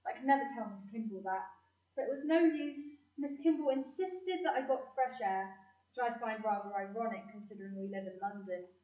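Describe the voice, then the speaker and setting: Woman as bookish imaginative girl, highish voice, audio play, echoey dull recording. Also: fairly quick, warm tone, natural voice. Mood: earnest. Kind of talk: audio play narration and dialogue. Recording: echoey room, distant, dull sound.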